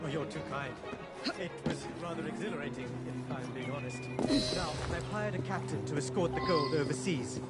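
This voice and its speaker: A man's voice: fairly high pitch, a little fast, close to an everyday voice. A man playing a courteous, refined Indian maharaja in a video game, with an Indian accent and formal, gallant manners. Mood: courteous, warm. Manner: formal, polite, gallant turns of phrase. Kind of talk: video game cutscene acting. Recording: loud music behind the voice.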